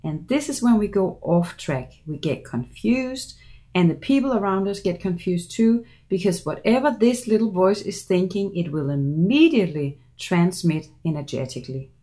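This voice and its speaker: A middle-aged woman from Denmark with a Danish accent, a voice coach giving an earnest, measured promotional pitch for her seminar. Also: animated, mellow. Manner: measured, earnest, persuasive delivery.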